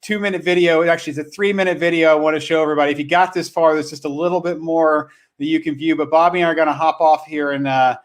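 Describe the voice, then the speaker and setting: A man hosting a casual live-stream conversation, speaking earnestly and haltingly, often repeating his words as he goes. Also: on the high side, steady tone. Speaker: host.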